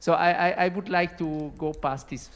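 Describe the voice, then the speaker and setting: A man's voice: fairly high pitch, fairly even intonation, moderate pace. A man in his forties in a large lecture hall, commenting into a handheld microphone during a conference question session. He hesitates often and repeats words. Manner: hesitant, repeats words, non-native phrasing. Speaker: audience member asking a question. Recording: echoey hall.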